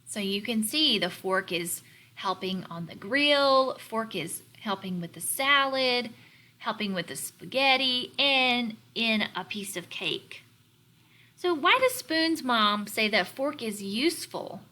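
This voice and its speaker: A woman teacher leading a read-aloud lesson for young children, explaining words in a deliberate, instructional way. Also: fairly high pitch, lively intonation, bright, forceful tone. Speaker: elementary teacher.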